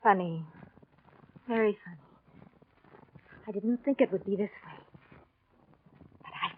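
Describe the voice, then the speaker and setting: An actress playing a scheming, vengeful femme fatale in a theatrical 1940s radio drama style. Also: female voice, mid-range voice, measured pace, close to an everyday voice. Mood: weak, dying. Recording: noisy, dull 1940s radio recording with clicks.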